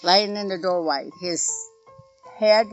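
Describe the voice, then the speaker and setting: A woman's voice: on the low side, slow pace. A middle-aged woman with a rural Oklahoma accent, recounting events first-hand in a blunt, matter-of-fact, conversational way. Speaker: interviewee, mother recounting events. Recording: muffled interview recording, music underneath.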